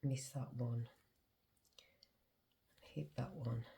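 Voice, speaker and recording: Woman host, northern English, low mellow voice, stuffy cold, unhurried chatty crochet walkthrough. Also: steady tone, gravelly. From England. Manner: chatty, talks to herself while working. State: congested with a cold, sniffly. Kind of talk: casual crochet demonstration.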